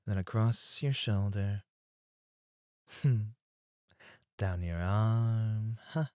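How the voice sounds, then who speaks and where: A man acting a flirtatious, self-assured male stripper in an ASMR roleplay, suave and teasing, with breathy asides, close on the microphone. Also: on the low side, extremely slow, mellow, dry recording. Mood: seductive. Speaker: ASMR voice actor.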